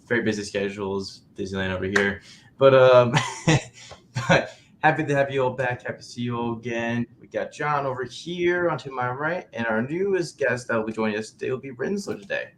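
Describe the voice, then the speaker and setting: A man hosting a casual podcast chat, slightly hoarse from a cold, chatty and quick to laugh. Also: mid-range voice, slight background noise. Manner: chatty, laughs often, many "you know"s. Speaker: podcast host.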